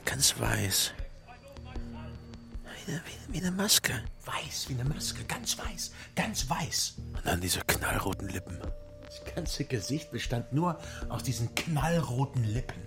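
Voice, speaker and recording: Haunted, halting man over music. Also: medium pitch, very animated, vocal fry, exaggerated voice. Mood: haunted, confessional. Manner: halting, repeating words, dropping into whispers. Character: brooding, haunted male party worker recalling a furtive memory. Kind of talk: intimate radio play monologue. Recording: music under the voice.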